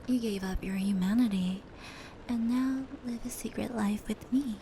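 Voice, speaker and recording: A gentle fox spirit acted by a woman speaks in a soft, breathy near-whisper with a mellow tone. She is unhurried, caring and a little teasing, like an intimate ASMR bedtime storyteller. Steady ambient noise of rain and brushing sits behind her. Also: mid-range voice, close to an everyday voice, rough recording. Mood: calm, reflective. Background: indoor rain and brushing sounds.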